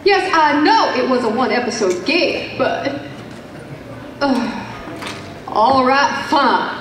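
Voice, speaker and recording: Echoey distant hall, high-voiced sassy female pony pop star, acted by a woman, theatrical, cartoon radio play. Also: animated, takes it slowly. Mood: indignant, grumbling. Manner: theatrical, grumbling, uses endearments. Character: famous female pony pop singer, sassy, wounded vanity, sweet. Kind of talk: animated fan radio play acting. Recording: echoey hall playback, distant, faint noise.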